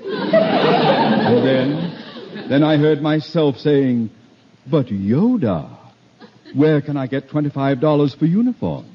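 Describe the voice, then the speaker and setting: Pompous, animated school principal. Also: male voice, on the high side, slow, long pauses, some chatter behind, heavily acted. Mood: melodramatic. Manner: pompous, theatrical, orotund. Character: pompous, blustering middle-aged high school principal. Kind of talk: 1950s radio sitcom acting. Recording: dull, hissy old 1950 radio broadcast.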